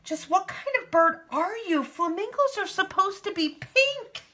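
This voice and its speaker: A woman's voice: very high-pitched, lively intonation, measured pace, sharp tone, pitched above the performer's own voice, full character voice. A woman voicing a bossy, scolding flamingo friend in a children's storybook. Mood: mocking. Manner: exclaiming, scolding.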